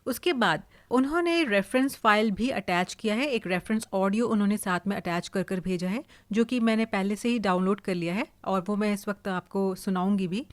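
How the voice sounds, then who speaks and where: A woman's voice: mid-range voice, normal speed, hardly any pauses, no room sound. An Indian-accented woman who works as a voice-over artist, explaining in a casual tutorial style.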